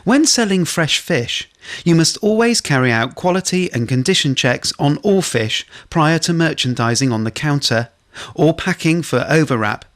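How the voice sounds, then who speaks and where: A man reading scripted instructional narration for a corporate training film, in a measured way. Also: on the high side, fairly bright tone.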